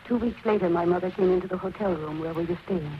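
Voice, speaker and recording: A woman's voice: fairly low pitch, fast talker, close to an everyday voice. An actress playing the anguished daughter of a murdered man, in earnest, dramatic 1940s radio style. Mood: urgent, haunted. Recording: very noisy, muffled 1940s radio recording.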